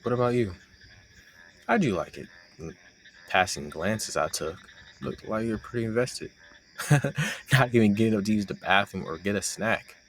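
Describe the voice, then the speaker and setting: A man's voice: lowish voice, normal speed, long pauses, a little hiss or hum, lightly acted, rough recording. A young man playing a playful, teasing roommate with a hidden crush, chatting casually and sarcastically to the listener in an intimate roleplay audio. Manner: teasing, conversational, sarcastic banter.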